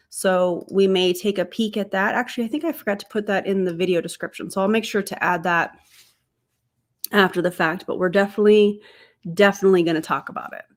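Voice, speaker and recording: Woman, young adult, stuffy nose, casual stream host, chatty, talks to her chat. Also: medium pitch. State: congested, getting over being sick. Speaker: streamer and commentator.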